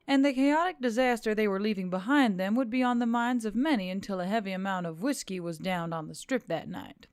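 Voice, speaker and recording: A woman's voice: fairly high pitch, no room sound. A woman reading scripted fiction as an audiobook narrator.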